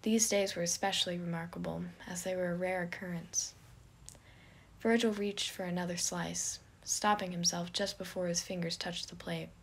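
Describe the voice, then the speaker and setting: A woman's voice: on the low side, steady tone, normal speed, breathy edge. A woman reading scripted fiction as the narrator of an audio story.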